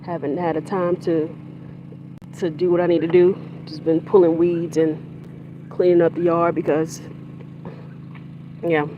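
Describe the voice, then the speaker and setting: An adult teacher chats loosely on a phone livestream from a backyard garden. The talk rambles, with restarts and trailing thoughts. A running generator leaves steady noise behind the voice. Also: medium pitch. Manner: rambling and chatty, with many restarts. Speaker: teacher of young children. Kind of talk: casual livestream chat while gardening. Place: outdoor yard garden. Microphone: handheld phone livestream. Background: generator hum and vehicle noise.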